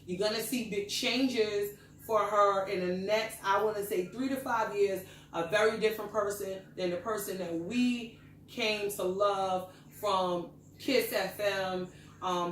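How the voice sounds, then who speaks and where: A woman's voice: mid-range voice, far from the mic. A woman hosting a loose chat show, talking at ease and laughing often, now and then turning preachy. She sits back from the mic in an echoey room. A faint hiss runs underneath. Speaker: podcast host. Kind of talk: casual group chat.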